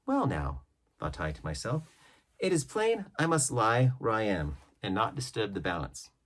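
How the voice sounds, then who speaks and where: A man reading an adventure novel aloud as a storybook narrator, with measured, dramatic phrasing. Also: lowish voice, very animated, fairly bright tone. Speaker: audiobook reader.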